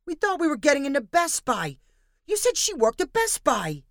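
A woman reading a story, voicing a careless young man who complains loudly and shrugs off blame. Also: sits high, wildly swooping pitch, normal speed, no echo, clean studio sound, pitched above the performer's own voice, exaggerated voice. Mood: indignant. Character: sheepish male tag-along, careless friend.

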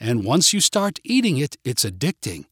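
A man's voice: medium pitch, huge pitch swings, normal speed, slightly rough, no room sound, studio quality. A man voicing an earnest young woman who talks like a prim British schoolteacher in a storybook. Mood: prim, lecturing. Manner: prim, lecturing, sweet.

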